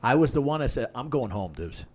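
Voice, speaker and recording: A man's voice: mid-range voice, big pitch swings, quick pace, a little mellow. A man in his fifties, a podcast guest, telling long drinking anecdotes in casual chat. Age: 50s. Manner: long-winded anecdotes, talking over others.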